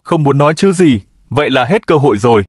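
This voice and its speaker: A man's voice: mid-range voice, animated, fast talker, lightly acted. A man voicing a callous, menacingly jolly old grandpa animal in a comedic cartoon parody dub. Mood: gleefully menacing. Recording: very noisy, clicky audio.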